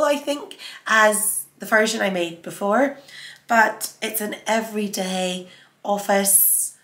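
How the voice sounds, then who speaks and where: A woman with a cold and a scratchy sore throat hosts her sewing vlog in a chatty, conversational way. Her voice sits at an ordinary middle pitch. The sound is noticeably roomy and echoey. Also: a bit bright. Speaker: sewing channel host. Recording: echoey room.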